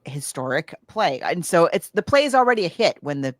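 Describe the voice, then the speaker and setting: A woman's voice: fairly low pitch, big pitch swings, a little fast, no room sound, clean studio sound. A woman co-hosting a podcast, chatting casually about films in a chatty, emphatic way. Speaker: podcast co-host. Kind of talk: casual film discussion chat.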